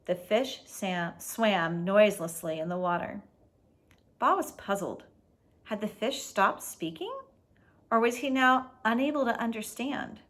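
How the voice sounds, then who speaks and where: A woman reads a storybook aloud as host, with a mid-range voice. She is expressive and explains things casually, as if to young listeners. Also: medium pitch, measured pace.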